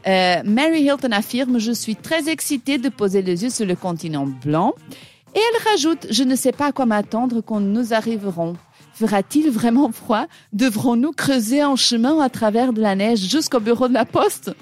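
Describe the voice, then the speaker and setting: A woman's voice: on the high side, sing-song, moderate pace, darkish tone, soft background music. Non-native woman, ironic radio chronicle. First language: not French. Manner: amused, ironic, with frequent hesitations. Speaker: radio show columnist.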